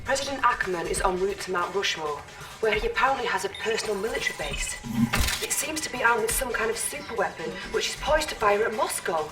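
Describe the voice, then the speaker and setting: An actress playing an earnest female intelligence officer, giving crisp, formal briefings in a video game. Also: medium pitch, lively intonation, quick pace, lightly acted. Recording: slightly distant, music behind.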